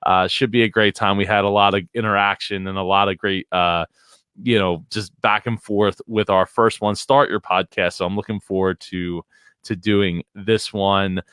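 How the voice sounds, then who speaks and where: A man hosting a live podcast show, rambling and playful with frequent fillers. His voice is fairly low but bright and crisp. The sound is dry and close. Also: fairly even intonation, moderate pace, no echo. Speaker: podcast host. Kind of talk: live show host chat.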